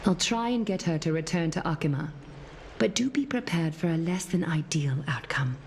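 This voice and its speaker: A woman voicing a solemn, composed leader in a video game, speaking formally and with measured poise. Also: fairly low pitch, animated, darkish tone, slight background noise. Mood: solemn, grave. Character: solemn, composed female leader weighing omens and fate. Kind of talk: scripted video game dialogue. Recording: music under the voice.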